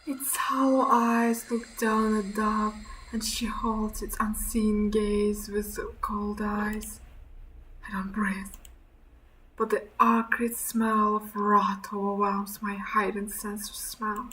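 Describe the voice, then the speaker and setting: A woman streaming a visual novel, reading the narration aloud and reacting in an expressive, sweary way. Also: medium pitch, slow, slight background noise, poor audio.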